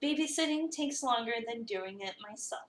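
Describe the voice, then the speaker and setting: A woman voicing a gruff, curt, busy female cafe chef in a visual novel reading, deadpan and dismissive. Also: fairly high pitch, moderate pace, close to an everyday voice. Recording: roomy, a bit far from the mic.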